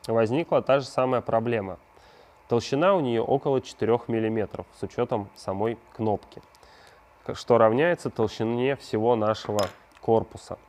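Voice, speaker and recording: Man, mid-range pitch, craft tutorial host, conversational, explanatory, rhetorical questions to the viewer. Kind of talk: hands-on prop-making tutorial narration.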